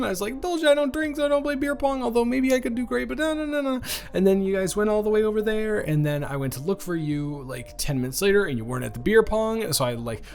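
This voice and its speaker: A young man playing a shy, nervous, sweetly awkward college student in an intimate audio roleplay, speaking in a halting, stammering, rambling way. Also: medium pitch, close to an everyday voice. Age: young adult. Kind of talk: intimate one-sided audio roleplay acting.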